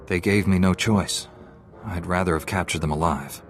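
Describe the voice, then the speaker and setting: Composed, principled young male hero for a sci-fi game, earnest, on the lower side. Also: normal speed. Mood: calm, firm. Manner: calm, principled. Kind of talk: video game voice acting. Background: music.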